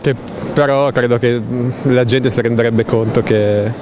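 Flat-toned young man, measured answer. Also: medium pitch. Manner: measured, thoughtful, slight hesitations. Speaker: passerby. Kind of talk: street interview answer. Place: city arcade at night, outdoors. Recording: street noise.

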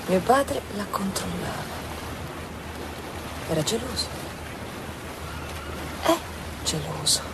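Radio drama daughter: young student, medium pitch, sincere and strong-willed, clear ambience behind. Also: female voice, noisy background. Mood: troubled, resolute. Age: young adult. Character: earnest, determined young student daughter in love. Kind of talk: Italian radio drama acting.

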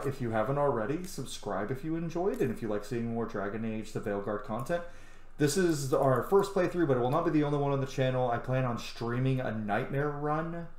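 A man's voice: mid-range voice, a little mellow. A man, around his thirties, giving casual, enthusiastic let's-play commentary in chatty asides. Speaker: game streamer and video host. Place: small room indoors at home. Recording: roomy sound, game music behind. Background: video game music and dialogue.

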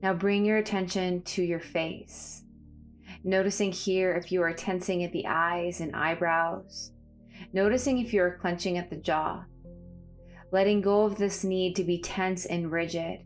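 A woman with a slightly low voice guides a body scan meditation. Her delivery is calm and soothing, with measured phrases and space between them. Faint music plays behind her. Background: background music.

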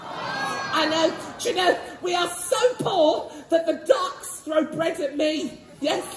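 Male performer for British panto dame: very high voice, brash and exclamatory hard-up mother, echoey stage. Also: slow, a crowd in the background. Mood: brash, teasing. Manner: exclamatory, bantering with the audience. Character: brash, hard-up comic mother in a garish frock. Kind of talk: live stage pantomime comedy. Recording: echoey theatre hall, faint backing music.

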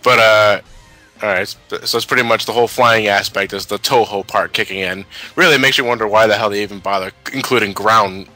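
A man's voice: lowish voice, fairly even intonation, a little fast, pushed and bright, poor audio. A man giving casual, critical game commentary in a dry, questioning way. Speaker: gaming commentator. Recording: game music behind the voice. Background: video game music.

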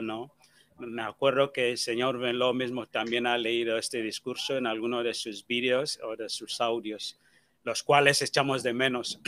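A man's voice: medium pitch, sharp tone, no echo. A man hosting an online radio interview, plainly laying out the topics in an organized way. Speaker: interview host. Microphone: earphones with small mic on video call.

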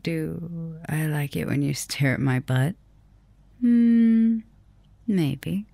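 A woman playing a flirtatious, softly dominant vampire professor in an ASMR roleplay, intimate and teasing, sometimes dropping to a whisper. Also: deep voice, extremely slow, creaky, dry recording, exaggerated voice. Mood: teasing, flirty. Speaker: roleplay audio performer.

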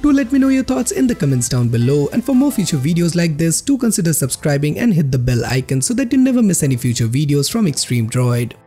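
Male tech presenter, animated scripted read, slightly husky, music underneath. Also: on the high side, fast, hardly any pauses. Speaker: host of a tech review channel. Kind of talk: scripted narration of an app roundup video. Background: background music.

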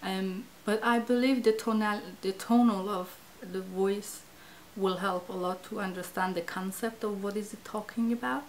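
A woman in her thirties from Iran with a Persian accent, a native Farsi speaker, talking reflectively to camera in a small indoor studio and often pausing to search for words. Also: medium pitch, fairly even intonation, slight background noise, low-quality recording. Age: 30s. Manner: hesitant, searching for words, many fillers. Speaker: interviewee, language project participant. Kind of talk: reflective interview talk to camera.

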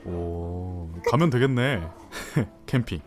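A man's voice: low-pitched, lively intonation, slow pace. A man voicing a laid-back married man in his thirties who teases his nervous friend with chuckles, in an amateur audio drama. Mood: teasing. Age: 30s. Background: soft background music.

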